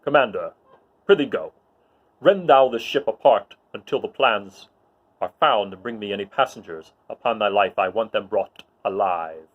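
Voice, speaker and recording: A menacing dark lord , played by a man, declaims mock-Shakespearean verse. He is commanding and brooding, with loud, swelling intonation on a slightly muffled recording. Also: fairly low pitch, expressive, moderate pace.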